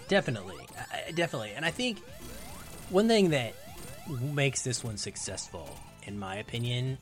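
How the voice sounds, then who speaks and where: A man's voice: medium pitch, fairly bright tone. A man around his thirties or forties talking casually as a podcast guest, explaining his film opinions in an analytical, emphatic way.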